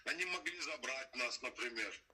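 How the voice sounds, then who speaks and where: A middle-aged man tells his story in an unpolished, halting way, often restarting and looping back with the same filler phrases. His voice is very bright and sharp-edged. He sits some way off from the microphone. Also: medium pitch, far from the mic. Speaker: interviewee, father of a detained son. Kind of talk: casual spoken testimony.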